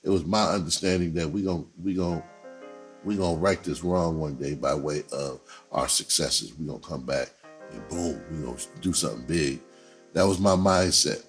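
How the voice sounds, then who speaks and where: A man's voice: a bass voice, moderate pace, soft background music. A man speaking in a casual storytelling monologue, conversational and profane, with streetwise slang. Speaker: entertainer telling personal stories on own channel.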